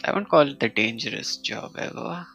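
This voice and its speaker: A man's voice: mid-range voice, lively intonation, normal speed, poor audio. A man giving casual live commentary as he plays a video game. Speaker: game streamer. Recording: faint game music behind.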